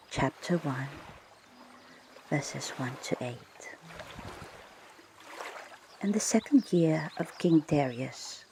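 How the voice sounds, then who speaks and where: A woman speaks in a very slow, hushed ASMR whisper, as if leading a guided prayer. Her breathy voice often drops into a crackly fry. She is unhurried and deliberate, with soft ocean water noise running behind her. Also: deep voice. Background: ocean water ambience.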